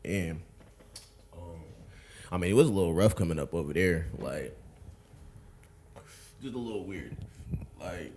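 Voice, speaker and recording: A man's voice: low-pitched, expressive, a little slow, long pauses, a little mellow, a little hiss or hum. A man, chatting casually as a podcast guest in a laid-back, rambling way. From Buffalo, New York, USA. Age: 20s. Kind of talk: casual chat.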